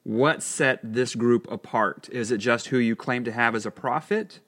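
A man's voice: mid-range voice, moderate pace, a bit bright. A man hosting an interview, asking curious and encouraging questions. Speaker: interview host. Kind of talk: conversational interview.

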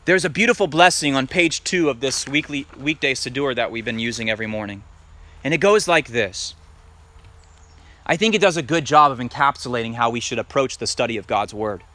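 A man preaching a sermon and reading scripture aloud. He talks emphatically, puts direct questions to his listeners, and builds to loud peaks. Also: medium pitch, expressive, brisk. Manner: asks the congregation direct questions. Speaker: messianic congregation teacher.

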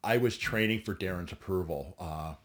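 A man's voice: low-pitched, normal speed. A man in his forties, a bodybuilder guest telling his story bluntly in a podcast interview, swearing freely and often restarting. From Ontario, Canada.

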